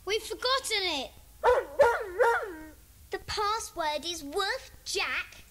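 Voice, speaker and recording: A woman's voice: extremely high pitch, expressive, slow pace, full character voice. A woman voicing an eager young child club member in a children's audio drama. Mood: sheepish. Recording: noisy old cassette transfer.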